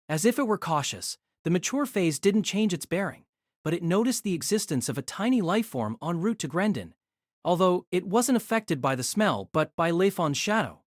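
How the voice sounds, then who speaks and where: A man's voice: high voice, no room sound, clean studio sound. A man reading scripted narration for a fantasy story. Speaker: narrator of a fantasy audio drama.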